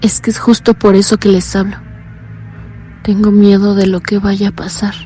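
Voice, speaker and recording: An actress playing a blind young woman calling in to tell a frightening story, talking in a low, earnest, uneasy way. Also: level tone, normal speed, warm tone, faint music behind, close to an everyday voice. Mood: anxious. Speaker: caller. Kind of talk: radio drama acting.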